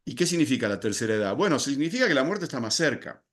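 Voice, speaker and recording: A man's voice: medium pitch, big pitch swings, fast, a bit bright. Older Argentine man, podcast guest, reflective and explanatory delivery with frequent restarts. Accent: Argentine Spanish. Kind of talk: podcast interview.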